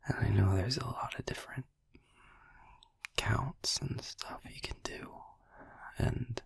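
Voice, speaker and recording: A man playing a gentle, caring vampire boyfriend in a soft, halting, breathy whisper for a sleep-aid ASMR roleplay, close to the microphone. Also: lowish voice, dry recording, exaggerated voice. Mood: musing, soft. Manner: soft, halting, soothing, casual. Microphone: close, dry microphone.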